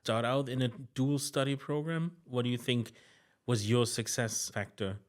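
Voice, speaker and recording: A man's voice: medium pitch, normal speed. Podcast co-host, man, brief questions, light teasing. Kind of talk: casual interview chat.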